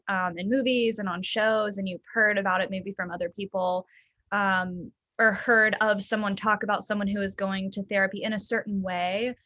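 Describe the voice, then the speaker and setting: A young adult woman from the American South, a therapist talking casually and thoughtfully in an interview, with frequent ums and soft laughs. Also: medium pitch, no echo, muffled recording. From Southern United States.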